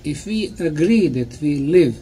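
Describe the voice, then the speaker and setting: A man lecturing in short, emphatic phrases, pausing for an interpreter, with a deliberate, didactic manner. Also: fairly high pitch, expressive, mellow, a little hiss or hum. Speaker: lecturer and guide.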